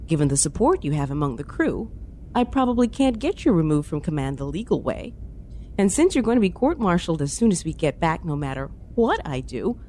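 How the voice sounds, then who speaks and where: A woman acting as a stern starship commander speaks in a lowish, mellow voice with lively intonation. She is clipped and distrustful, a by-the-book officer in a sci-fi audio drama. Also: slight background noise. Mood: cool, guarded. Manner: clipped, formal, curt. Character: stern, rule-bound female commander, coldly dutiful and distrustful.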